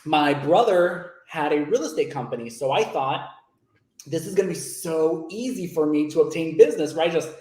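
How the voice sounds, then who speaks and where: A man's voice: fairly high pitch, lively intonation, moderate pace, darkish tone, roomy sound, poor audio. A young man from Miami on a video call, chatting and telling stories as a guest, laughing easily. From Miami, Florida. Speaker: guest, loan originator. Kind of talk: casual video chat interview.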